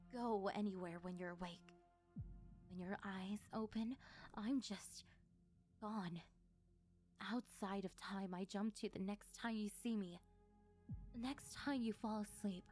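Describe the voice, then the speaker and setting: A woman voicing a lonely, needy female sleep paralysis demon in an intimate ASMR roleplay, hesitant and soft-spoken. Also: medium pitch, close to an everyday voice. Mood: wistful, uncertain.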